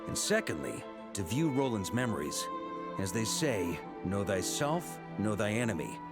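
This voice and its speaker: An actor playing an easygoing veteran knight leader in a video game, musing and breezy with a theatrical touch. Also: male voice, fairly low pitch, sing-song, normal speed, over loud music. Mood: thoughtful.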